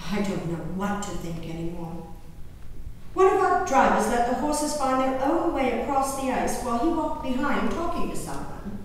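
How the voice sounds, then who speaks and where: A woman performing a dramatic stage reading as a grieving, wayward colonial-era gentlewoman and mother, emotive and deliberate, drawing out her words. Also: high-pitched. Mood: distressed, somber. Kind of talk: theatrical dramatic reading of a diary. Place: live performance in a large echoey room. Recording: echoey hall, distant mic, faint noise.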